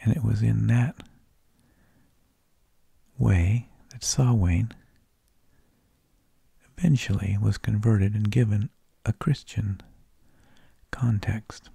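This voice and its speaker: Slow, breathy whispering man, ASMR. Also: fairly low pitch, dark tone, dry recording. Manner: slow, deliberate phrasing with pauses. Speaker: ASMR host. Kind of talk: whispered ASMR narration of history. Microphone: close microphone.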